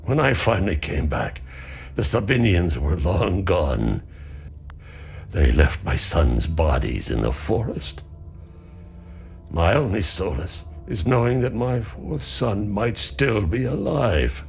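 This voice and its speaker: An actor playing a weary, grieving older father in a video game, speaking in a slow, sombre, measured way. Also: on the low side, sing-song, vocal fry, exaggerated voice.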